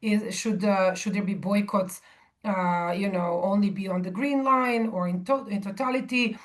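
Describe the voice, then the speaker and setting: A woman in her forties, originally from Serbia, speaking to a live audience in a measured way with frequent pauses and restarts. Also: medium pitch, steady tone. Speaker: guest speaker.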